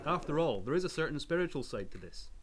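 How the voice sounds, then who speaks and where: A man's voice: on the high side, sing-song, fast. An actor playing an anxious, pious male professor in a radio drama, speaking earnestly and formally as doom nears. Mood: anxious, pleading.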